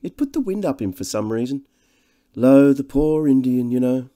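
A man's voice: mid-range voice, lively intonation, moderate pace, mellow, pitched above the performer's own voice, natural voice. A man voicing a glib, charmer in an audiobook, with a jaunty, flippant manner. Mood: coaxing. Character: breezy, smooth-talking upper-class schoolfellow with glib charm. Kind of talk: audiobook character dialogue.

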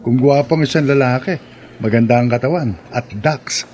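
A male actor as an earnest young Filipino man in a radio drama, a devoted boyfriend who makes online content. His voice sits in an ordinary male register and his delivery is expressive and sincere. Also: mid-range voice, moderate pace, slight background noise, lightly acted. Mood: casual, curious.

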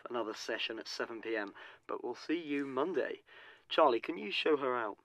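Man acting as a studio producer, effusive, breezy, callous, medium pitch, audio drama. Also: expressive, brisk, fairly bright tone, dry recording, low-quality recording. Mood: breezy, gushing. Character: gushing, callous male recording studio producer.

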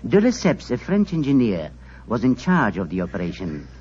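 An actor playing a formal, learned passenger with measured, precise speech in a 1940s radio drama on a dull old recording. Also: male voice, mid-range voice, wildly swooping pitch, a little mellow, a little hiss or hum. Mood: suave. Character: suave, learned male passenger, courteous.

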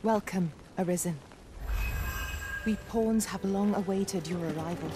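A woman's voice: medium pitch, unhurried, background noise, lightly acted. A woman playing a deferential otherworldly guide in a fantasy game, speaking formally and ceremoniously. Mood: solemn, welcoming. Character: solemn, deferential robed female otherworldly guide. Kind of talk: fantasy game voice acting with archaic speech. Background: music.

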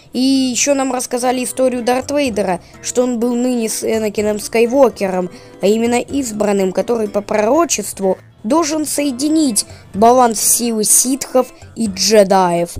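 A woman's voice: fairly high pitch, warm tone. A woman giving casual, chatty voice-over commentary in an informal, opinionated way. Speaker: video creator and narrator. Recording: music under the voice. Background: background music.

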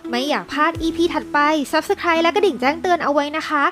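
A woman's voice: high voice, brisk, fairly bright tone, soft background music. A woman host wrapping up her cartoon episode and asking viewers to subscribe. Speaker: channel host. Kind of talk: video outro.

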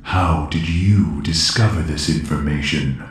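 Very deep echoing evil emperor. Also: male voice, a little hiss or hum. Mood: cold, commanding. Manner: slow, imperious. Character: menacing male villain emperor, commanding and cold. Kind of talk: audio drama acting. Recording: heavily echoing voice.

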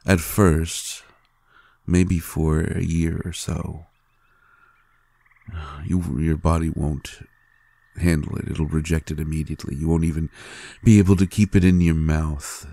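Man playing a very deep, gravelly vampire lover. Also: steady tone, no echo, exaggerated voice. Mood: reassuring, seductive. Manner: slow, hushed, halting with pauses. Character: tender, adoring male vampire lover, gently teasing. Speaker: audio roleplay performer. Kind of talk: intimate romantic audio roleplay.

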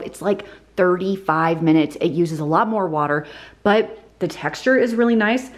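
A woman hosting a casual home cooking vlog, chatty and quick to laugh at herself, in a home kitchen. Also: mid-range voice, a little hiss or hum.

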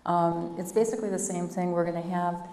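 Clerk lady at a council meeting, voice gone deep today, kinda flat, explaining stuff plainly. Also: brisk. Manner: practical, matter-of-fact explaining with frequent "um". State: voice deeper than usual today. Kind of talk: explaining procedure at a public meeting.

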